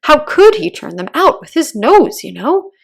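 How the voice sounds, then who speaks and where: A melancholy Mock Turtle from a storybook as acted by a woman. The voice is high and creaky, with a plaintive, insistent way of fretting over whatever puzzles it. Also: sing-song, moderate pace, exaggerated voice. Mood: puzzled. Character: melancholy, fretful, persistent Mock Turtle creature. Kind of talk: children's storybook read-aloud.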